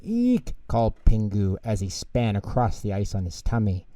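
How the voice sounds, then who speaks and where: Dark, mellow-voiced man reading bedtime stories. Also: lowish voice, steady tone, normal speed, no echo. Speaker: storyteller, narrator. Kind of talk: expressive children's bedtime story narration. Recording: dull, lo-fi close recording.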